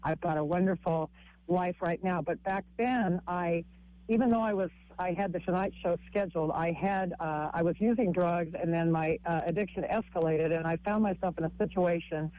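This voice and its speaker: A woman with a husky voice, a comic and author telling her story haltingly in a radio interview, with frequent restarts. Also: dull audio, slight background noise. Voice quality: husky, low-sounding voice. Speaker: guest author and stand-up comic.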